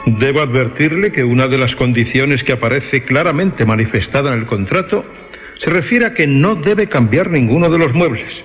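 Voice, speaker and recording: An actor playing a formal, courteous, insistent rental agency employee in a suspense radio play, speaking in a measured way. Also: male voice, mid-range voice, lo-fi sound, natural voice. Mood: formal warning. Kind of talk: Spanish-language suspense radio drama acting. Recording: band-limited, long reverb, faint music.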